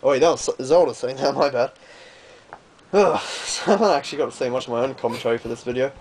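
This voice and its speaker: A man's voice: medium pitch, fast, darkish tone, husky. A man giving casual, chatty video game commentary, amused at himself and reading dialogue aloud as he goes. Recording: game music behind. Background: video game music.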